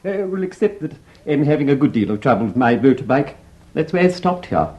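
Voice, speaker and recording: An actor playing a fussy, effusive English parson in a stage farce, gushing, hesitant and over-polite. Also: male voice, mid-range voice, sing-song, brisk, mellow, natural voice. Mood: effusive, chatty. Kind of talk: theatrical farce acting.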